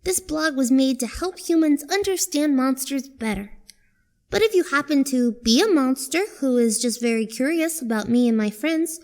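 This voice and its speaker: A woman's voice: sits high, animated, normal speed, a little mellow. A woman voicing a kind human child who narrates politely and sincerely in a cartoon fandub. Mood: earnest, friendly. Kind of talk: first-person fandub narration.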